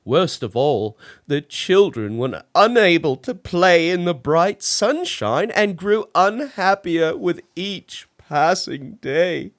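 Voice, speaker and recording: A man reading a children's storybook aloud as an expressive narrator. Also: high-pitched, slow pace, hardly any pauses, dry recording, clean studio sound. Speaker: storybook reader.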